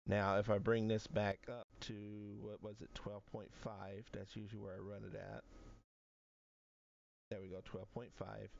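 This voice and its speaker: A man's voice: fairly low pitch, gravelly, a little mellow, muffled recording, slight background noise. A man streaming from home, casually walking through his audio settings at his desk microphone, talking them through aloud with frequent restarts. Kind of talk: casual screen-share tutorial.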